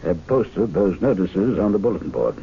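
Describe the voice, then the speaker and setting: Measured, expressive club gentleman. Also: sits low, vocal fry, breathy edge, full character voice. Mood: sober, doubting. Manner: measured, formal. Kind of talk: radio mystery drama acting. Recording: dull vintage radio recording with hiss.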